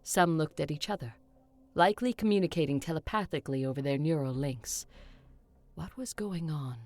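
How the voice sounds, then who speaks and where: A woman playing a solemn, determined, centuries-old vampire leader in an audio drama, measured and earnest. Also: deep voice, darkish tone, lightly acted. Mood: hushed, reflective. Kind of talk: dramatic acting with first-person narration.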